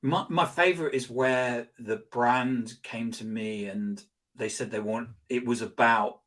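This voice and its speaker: A man being interviewed as a guest expert, telling anecdotes in a rambling, animated way with lots of restarts and fillers. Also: mid-range voice, unhurried. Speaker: guest, social selling expert. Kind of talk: conversational interview storytelling.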